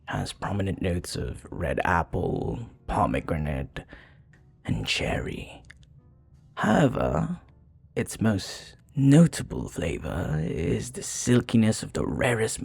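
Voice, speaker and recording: A man playing a flirty, charming vampire bartender in an intimate ASMR roleplay, teasing softly and unhurriedly with breathy murmurs and hesitations. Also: huge pitch swings, slow, soft background music, full character voice. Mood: smooth, inviting. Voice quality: low, husky, breathy.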